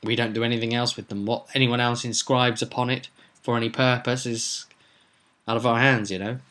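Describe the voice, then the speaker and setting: Irritated young male shopkeeper voiced by a man, mid-pitched, brusque, everyday delivery for a tabletop tale. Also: level tone, brisk, fairly bright tone, lightly acted. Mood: weary, brusque.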